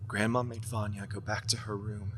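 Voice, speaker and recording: Audio horror role: anxious young woman played by a man, bright, tense tone, sarcastic and defensive, some background noise. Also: fairly low pitch, level tone, normal speed, close to an everyday voice. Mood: hushed, grave storytelling. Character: anxious, irritable young woman haunted by grandmother's witch tale. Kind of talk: audio drama acting, horror storytelling.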